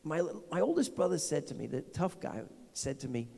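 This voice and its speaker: A middle-aged pastor with a New Jersey accent gives a chatty homily into a handheld microphone, full of restarts and questions to the pews. His voice sits a little high, yet it sounds mellow and gravelly. A long church reverb trails after his words. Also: very animated, fairly quick. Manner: informal, repetitive restarts, asks the congregation questions. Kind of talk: conversational homily. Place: large decorated church sanctuary.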